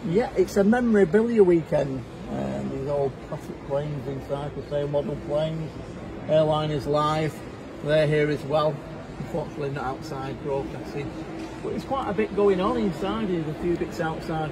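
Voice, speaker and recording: Radio operator: man, middle-aged, voice a touch high, courteous and chatty, recorded in a noisy event room. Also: normal speed. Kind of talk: casual amateur radio contact with formal call signs. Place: indoors by a window at an event. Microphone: bystander's camera near the operator, who holds a hand microphone. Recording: clear background noise, busy event room.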